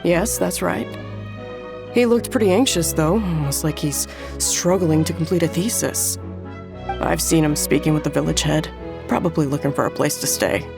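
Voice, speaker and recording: A gravelly mid-pitched voice from an easygoing local villager. He chats in a relaxed, kindly way, with game music clearly playing behind. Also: moderate pace. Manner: easygoing and chatty. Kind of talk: game voice acting.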